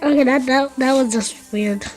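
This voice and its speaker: A woman's voice: sits high, normal speed, music in the background. A woman giving a casual video reaction as the host. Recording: very noisy room ambience.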